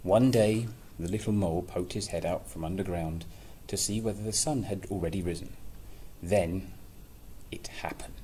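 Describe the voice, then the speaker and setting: A man's voice: low voice, moderate pace, dark tone. A man in his thirties reading a picture book expressively, with playful, chatty asides. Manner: playful, chatty asides between read passages. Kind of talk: expressive children's story reading with casual asides. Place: small plain indoor office-like room. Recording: clearly noisy room recording.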